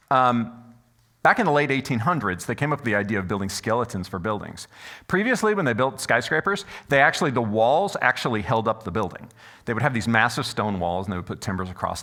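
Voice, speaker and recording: Male conference presenter, loud and animated, quick delivery, bright forceful tone. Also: mid-range voice. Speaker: conference speaker. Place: conference room before an audience. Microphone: stage microphone.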